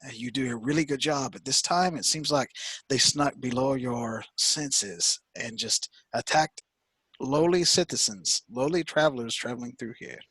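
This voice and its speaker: A man doing a deep-voiced formal wanderer. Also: moderate pace, a bit bright, husky, no echo. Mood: pleading. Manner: deep, formal, stiff phrasing. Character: formal wandering male traveler, earnest and proud.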